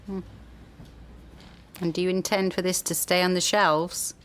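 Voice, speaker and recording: An actor playing a cautious university lecturer who speaks in a measured, hesitant way in a radio drama. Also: male voice, high-pitched, level tone, a bit bright. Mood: guarded.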